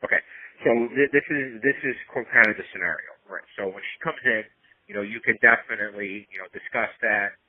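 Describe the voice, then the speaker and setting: A man's voice: fairly quick, no room sound. A man giving casual professional advice on a phone consultation, explaining step by step and checking in as he goes. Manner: explanatory, checks in with "right?" and "you know". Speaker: medical consultant advising a colleague. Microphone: telephone line. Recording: tinny narrowband phone call, low audio.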